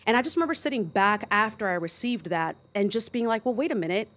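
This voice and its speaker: A woman's voice: medium pitch, animated, quick pace. A woman in her thirties from Greece, a pastor guest on a studio podcast, telling her story in a chatty, friendly way. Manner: warm, chatty, laughs often. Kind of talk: personal testimony in conversation. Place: small studio, indoors. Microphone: boom-arm studio mic.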